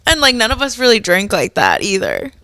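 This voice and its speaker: Bright, giggly woman podcaster. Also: medium pitch, huge pitch swings, a little fast, dry recording. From Boston. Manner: rambling, giggly storytelling. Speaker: podcast co-host. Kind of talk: casual stoner comedy chat.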